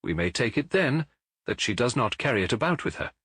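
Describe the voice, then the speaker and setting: Male narrator as Victorian gentleman detective, English accent, self-assured, precise, animated intonation, slightly husky. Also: mid-range voice, a little fast, no echo. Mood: confident, reasoning. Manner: precise, authoritative, instructing. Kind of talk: audiobook character acting.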